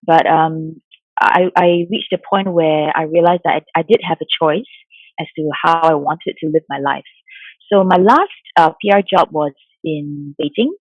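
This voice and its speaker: A woman from Singapore in her forties, a mindfulness coach answering interview questions in a soft, thoughtful way with many fillers. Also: low-pitched, moderate pace, no echo. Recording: dull lo-fi sound, dry.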